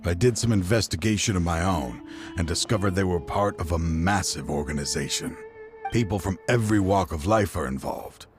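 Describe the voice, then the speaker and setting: A man acts out a middle-aged game character, a proud and grief-worn father facing an old partner. His voice is low and brooding, with theatrical, deliberate phrasing over faint music. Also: close to an everyday voice. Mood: sorrowful, reflective. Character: grieving middle-aged father, proud, weary former partner turned adversary. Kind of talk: dramatic video game voice acting. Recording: light music behind the voice.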